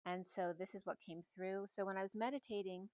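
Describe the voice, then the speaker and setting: A middle-aged woman giving a casual, meandering spiritual reading at home, in a soft and musing way. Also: mid-range voice, steady tone, fairly quick, dry recording, highs cut off. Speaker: spiritual reader. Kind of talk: casual channeled message reading.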